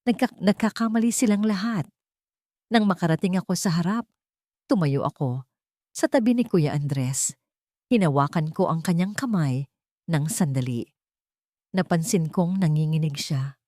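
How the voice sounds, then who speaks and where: Woman telling a dramatic romance story, pretty low voice, dry close sound. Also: normal speed, pauses often, mellow, no echo. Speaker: story narrator. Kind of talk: dramatic first-person scripted narration of a romance betrayal story.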